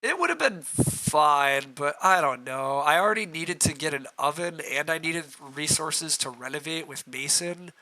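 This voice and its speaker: A man with a rough, hoarse voice, streaming a board game, thinking aloud through his choices and answering chat as he clears his throat. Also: fairly high pitch, expressive, normal speed, few pauses, sharp tone, slight background noise, poor audio.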